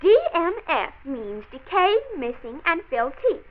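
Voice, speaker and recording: High-pitched, pert young girl, old radio. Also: sing-song, measured pace, muffled recording, slight background noise. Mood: confident, reciting. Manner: pert, recites facts formally like a debater. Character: precocious, confident twelve-year-old granddaughter, pert and argumentative. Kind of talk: 1940s radio drama acting. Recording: band-limited old 1940s radio recording.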